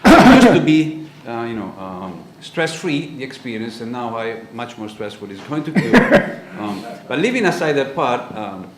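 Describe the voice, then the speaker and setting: A man from Italy with an Italian accent, giving a conference talk on a panel, halting with frequent ums and uhs. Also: mid-range voice, sing-song. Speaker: panel speaker. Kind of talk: conference presentation. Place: lecture auditorium. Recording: roomy hall with faint noise.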